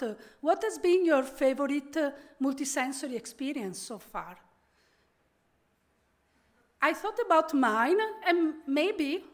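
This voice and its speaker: A middle-aged woman from Italy with an Italian accent, giving a conference keynote in a friendly, conversational way with frequent pauses and fillers. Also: high voice. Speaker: keynote speaker.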